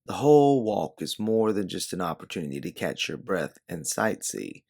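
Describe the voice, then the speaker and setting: A man's voice: low-pitched, animated, moderate pace, gravelly, darkish tone, husky. A man narrating a scripted second-person story as an audiobook-style storyteller. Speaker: narrator and author.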